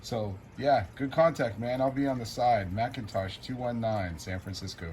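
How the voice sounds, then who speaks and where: A man's voice: medium pitch, moderate pace. A man chatting casually as a CB radio operator, informal and laid-back. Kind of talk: casual radio contact chat. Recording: very noisy CB radio setting, static.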